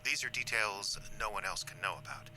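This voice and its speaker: An actor playing a coolly menacing, condescending alien invader in an audio drama, speaking in a measured, threatening way. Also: male voice, on the low side, expressive, sharp tone, slight background noise. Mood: cold threat.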